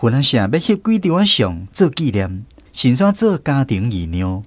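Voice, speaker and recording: A man's voice: mid-range voice, big pitch swings, normal speed, natural voice. A man with a Taiwanese accent playing a grown man who narrates fond memories of his country childhood in a radio drama. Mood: reflective.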